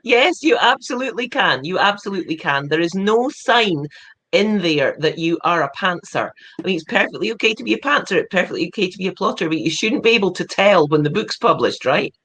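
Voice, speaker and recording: An interviewer hosting a fellow author's book talk, encouraging and playful in tone. The voice sits at a middle pitch, easy and conversational. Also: lively intonation, a little fast, a bit bright.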